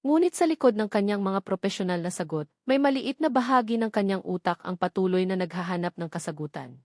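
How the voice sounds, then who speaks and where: A woman's voice: mid-range voice, expressive, brisk, a little mellow, no room sound, clean studio sound. A woman with a Filipino accent reading a scripted, dramatic romance story as narrator.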